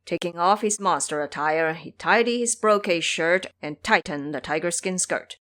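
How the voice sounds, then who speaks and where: A woman's voice: on the low side, normal speed, a bit bright. A woman giving scripted narration for a fantasy audio drama.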